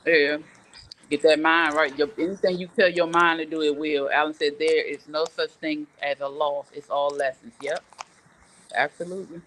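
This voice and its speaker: A woman's voice: low-pitched, fairly even intonation, normal speed, a bit bright, a little hiss or hum, low-quality recording. A middle-aged woman hosting a casual live morning show, giving frank, emphatic advice and telling stories conversationally. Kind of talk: casual chat, reading viewer comments and telling personal stories.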